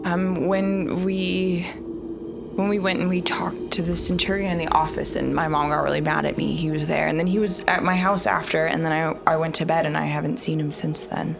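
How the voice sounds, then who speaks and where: Woman, low voice, vocal fry, casual tabletop player, thinking aloud, music bed behind. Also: little pitch movement, moderate pace. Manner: informal, thinking aloud as she narrates her character's actions. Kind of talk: casual tabletop roleplaying talk. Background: background music score.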